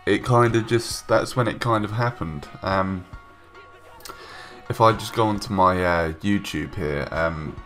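A man's voice: sits low, a little slow, fairly bright tone, soft background music. A young man with a stuffy nose from a cold, giving casual, rambling voice-over commentary with many ums and restarts. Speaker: gaming YouTuber. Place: home room, recorded separately from gameplay.

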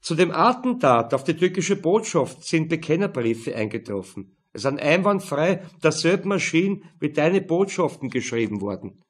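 A man voicing a gruff, irascible Austrian police major and father, loud, sneering and interrogating. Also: on the high side, big pitch swings, normal speed, few pauses, voice pushed up. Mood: agitated.

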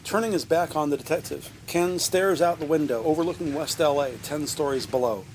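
Higher-pitched male audio drama narrator. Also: a little fast, a little mellow, slight background noise.

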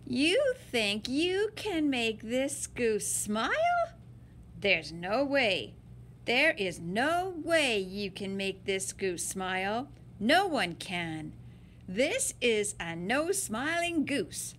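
Female picture-book reader for children, emphatic and playful, with lively swings in pitch over faint noise. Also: extremely slow. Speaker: story-time reader. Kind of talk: expressive picture-book read-aloud for children.

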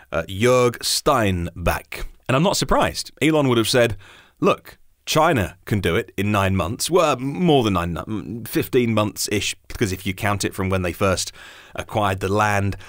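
A man's voice: lowish voice, no room sound, studio quality. A man hosting a news podcast, reading items out and adding informal, chatty asides. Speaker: podcast host.

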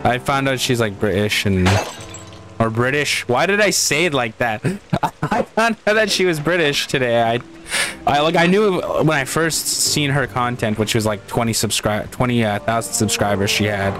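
Excitable Canadian guy playing games. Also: mid-range voice, normal speed, over music. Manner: excitable, swears and laughs a lot. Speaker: gaming channel host. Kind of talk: casual let's-play commentary.

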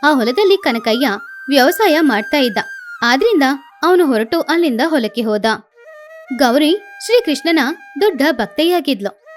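A woman's voice: sits high, animated, normal speed, faint music behind. A woman narrating a scripted children's moral story as the storyteller.

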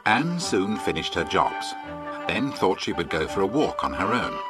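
A man's voice: low-pitched, very animated, normal speed, over music. A man with an English accent narrating a children's adventure story as a scripted storyteller. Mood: storytelling.

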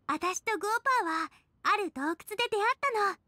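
A cheerful teenage cook in a Japanese game. Her girlish voice is very high and bright, bubbly and casual, recorded close and dry. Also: lively intonation, brisk, dry recording, exaggerated voice. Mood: cheerful, reminiscing.

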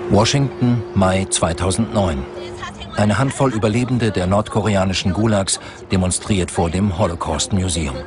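A man's voice: low-pitched, normal speed. A man reading scripted documentary narration as the narrator. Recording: loud music under the voice. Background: loud music.

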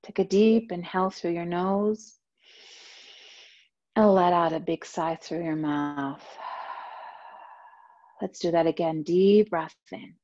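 A woman yoga teacher leading a guided meditation, speaking in a slow, soft, soothing way. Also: lowish voice, fairly even intonation, muffled recording.

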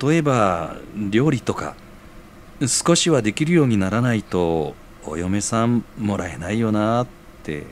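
An actor playing a mild, good-natured, slightly bashful bachelor in a scripted audio drama. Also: male voice, on the low side, expressive, measured pace, slight background noise. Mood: sheepish.

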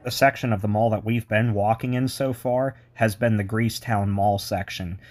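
Chatty man, lowish voice. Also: fairly even intonation, moderate pace, a little mellow. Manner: enthusiastic, chatty asides. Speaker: mall tour vlogger. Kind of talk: walking tour narration with history. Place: indoor shopping mall.

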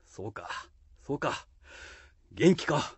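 Man acting a slow, husky samurai warlord. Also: mid-range voice, big pitch swings, no echo, heavily acted. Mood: musing. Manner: slow, weighty archaic lordly speech. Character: lordly middle-aged samurai warlord, musing and commanding. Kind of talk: Japanese period radio drama.

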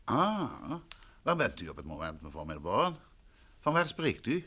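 A man's voice: on the low side, wildly swooping pitch, a little slow, sharp tone, exaggerated voice. An actor playing a courteous, self-assured male detective in an old Dutch radio play. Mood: brisk. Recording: vintage radio recording with noise.

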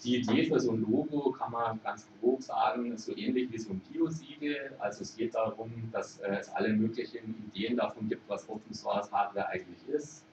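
Low-voiced German man in an echoey hall. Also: fairly quick, hardly any pauses, slight background noise. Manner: relaxed, thinking aloud with frequent hesitations. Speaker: conference speaker. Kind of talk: informal conference talk. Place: lecture room at a conference. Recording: echoey hall, distant mic, muffled.

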